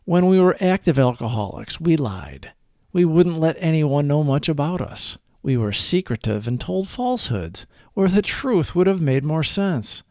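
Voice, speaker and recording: A man with a slightly high voice reads a scripted reflection aloud in very lively, varied intonation. His delivery is earnest and measured, each phrase set out deliberately. The sound is close and dry. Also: no room sound. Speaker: host of a daily recovery reading.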